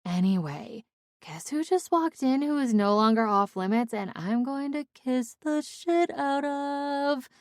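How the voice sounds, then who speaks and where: A woman's voice: high voice, animated, a little slow, dry recording, studio quality, voice pushed up. A woman voicing a drunk, giggly young former cheerleader rambling dramatically and swearing on the phone in an audiobook. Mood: excited slurred.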